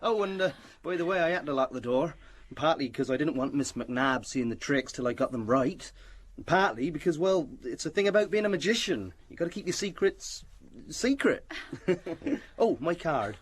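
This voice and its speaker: A man plays a sheepish British would-be conjurer in a radio mystery. His voice sits a little high, and he rambles eagerly and apologetically, with small stumbles. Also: expressive, moderate pace, a little hiss or hum, close to an everyday voice. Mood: bashful. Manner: chatty, apologetic, hesitant. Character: modest, eager, bashful amateur stage magician. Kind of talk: British radio drama acting.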